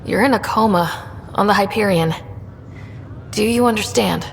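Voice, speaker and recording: A woman playing a caring young space explorer and sister in a video game, speaking gently and earnestly. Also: moderate pace, creaky, close to an everyday voice. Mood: gentle, sorrowful. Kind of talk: dramatic video game acting. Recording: hall reverb, faint rumbling noise.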